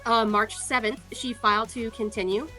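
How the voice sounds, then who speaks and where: A woman's voice: a little high, steady tone, normal speed, pushed and bright, faint music behind. A woman giving casual, sarcastic commentary as she walks through court records, scornful and free with swearing. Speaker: online commentator.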